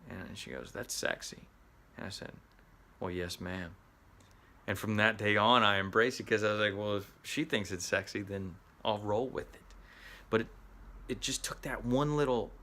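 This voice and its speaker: A man's voice: fairly low pitch, pushed and bright. A middle-aged man with a Southern US accent, from the Midwest, giving an earnest motivational talk to actors as an acting coach, often restarting his words.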